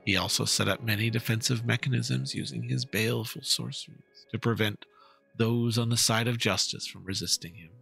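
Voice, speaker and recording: A man's voice: on the low side, normal speed, vocal fry, a bit bright, faint music behind. A man narrating a fantasy story in a solemn, theatrical dramatic reading.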